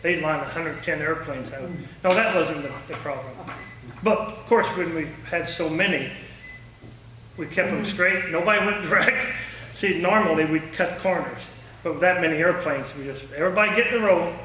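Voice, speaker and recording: Man, folksy, anecdotal, bright tone, informal talk, heavy room echo, faint noise. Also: fairly high pitch, moderate pace. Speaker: guest speaker, former air traffic controller. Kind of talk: informal public talk with question and answer. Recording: echoey room, distant mic, faint noise.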